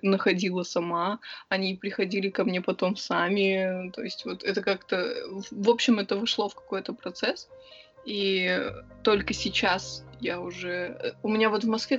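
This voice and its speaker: A young woman answers interview questions in a chatty, rambling way, stopping often on filler sounds, her pitch sitting right in the middle. The recording is a little dull, with faint music under her voice. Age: young adult. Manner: chatty and quick, with many hesitation sounds. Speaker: interview guest, writer and club organizer. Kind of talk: casual conversational interview.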